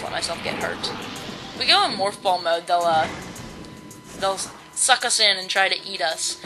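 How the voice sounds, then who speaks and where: A sixteen-year-old boy with a high-pitched voice, giving live gameplay commentary in a hesitant, rambling way with many ums and restarts. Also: rough recording. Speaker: let's play commentator. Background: video game music.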